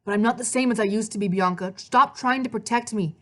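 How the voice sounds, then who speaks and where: Lady narrator doing intense young boy, demanding, impatient, higher voice, fantasy audiobook. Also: a little fast, close to an everyday voice. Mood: frustrated. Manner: demanding, insistent. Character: intense, impatient, grieving boy summoning the dead.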